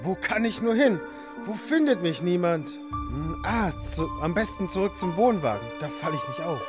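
A man playing a gruff, agitated crook on the run in a children's radio play, cursing and muttering to himself. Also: high-pitched, big pitch swings, normal speed, music in the background. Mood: frantic, grumbling.

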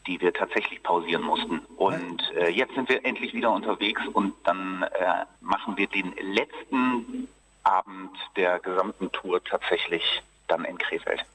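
A man with a very low voice chats casually about his work, hesitating often and laughing easily. He sits somewhat away from the microphone. A faint hum runs underneath. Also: unhurried, a bit bright, far from the mic, rough recording. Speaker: actor, theater group founder being interviewed. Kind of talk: relaxed interview chat.

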